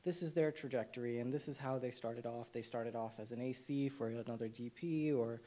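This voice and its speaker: A man's voice: medium pitch, fairly quick, mellow. Hesitant young man from Nepal. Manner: hesitant, many fillers and restarts. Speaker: documentary cinematographer, panelist. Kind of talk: panel discussion.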